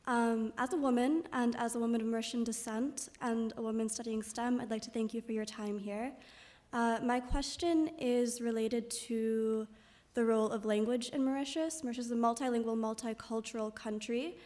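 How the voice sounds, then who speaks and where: A woman's voice: fairly high pitch, steady tone, moderate pace, darkish tone. A young woman, a student, asking a prepared question from the audience in a polite, formal way. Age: young adult. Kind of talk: prepared question at a public event.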